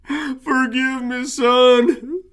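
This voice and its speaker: A man's voice: extremely high pitch, fairly even intonation, very slow, a bit bright, clear, resonant tone, pitched above the performer's own voice, heavily acted. A man voicing a grieving rural father who speaks in a folksy country dialect, his lament half a prayer.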